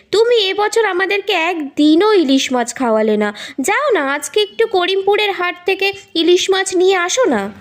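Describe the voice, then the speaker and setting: Woman as a very high, coaxing cartoon wife. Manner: coaxing, nagging lightly. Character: coaxing young village wife and mother. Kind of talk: cartoon dialogue acting.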